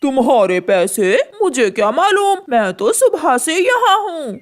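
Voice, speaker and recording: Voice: high-pitched, big pitch swings, a little slow. An actor voicing an exasperated, defensive grown male bird in a cartoon, grumbling and protesting. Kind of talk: cartoon dialogue.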